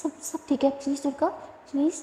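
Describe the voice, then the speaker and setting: A woman voicing an anxious, caring young woman who softly pleads and soothes, repeating herself. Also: high voice, flat delivery, a little fast, lightly acted. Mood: soothing. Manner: soft, pleading, repeats itself. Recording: echoey reverberant room.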